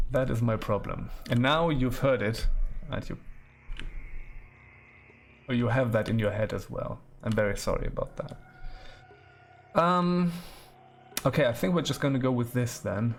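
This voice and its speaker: Creaky-voiced man streaming a game. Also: medium pitch, a little hiss or hum. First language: German. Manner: musing, thinking aloud, repeats words. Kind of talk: casual game commentary, chatting with viewers.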